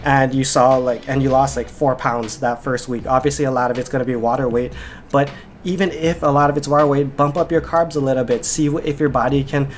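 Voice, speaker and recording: A man's voice: mid-range voice, steady tone, brisk, slight background noise. Nasal young man talking fitness. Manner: rambling, filler-heavy with frequent "like". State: congested and nasal from a cold. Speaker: fitness vlogger and coach. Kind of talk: casual vlog chat.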